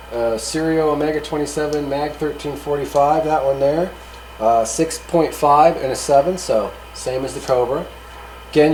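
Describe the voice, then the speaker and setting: A man's voice: mid-range voice, darkish tone, slight background noise, rough recording. A man hosting a hobby gear review, giving a casual, unscripted rundown in a rambling, matter-of-fact way. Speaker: hobbyist video host reviewing radio gear.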